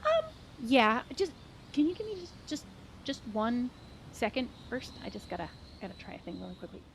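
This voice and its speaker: A woman playing a frazzled, enthusiastic gnome coach with a bubbly, rambling, halting delivery. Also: a little high, very animated, gravelly, a little hiss or hum. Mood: flustered, rambling. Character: frazzled, enthusiastic female gnome training magical girls.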